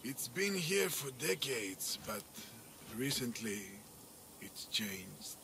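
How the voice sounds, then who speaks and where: A grave veteran arena master. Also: male voice, mid-range voice, big pitch swings, vocal fry, sharp tone, exaggerated voice. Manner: grave, measured, world-weary. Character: older male arena master, veteran warrior.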